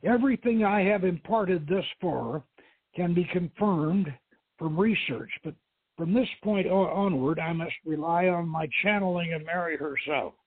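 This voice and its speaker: A man's voice: a little high, slightly rough, no echo. Man reading as a scholarly lady storyteller, phone-like sound. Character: learned, alluring lady archaeologist telling ancient lore. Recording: thin, phone-like radio line.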